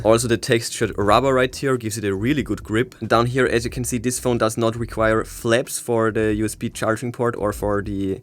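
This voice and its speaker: A man presenting a casual gadget unboxing and review as a tech reviewer. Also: lowish voice.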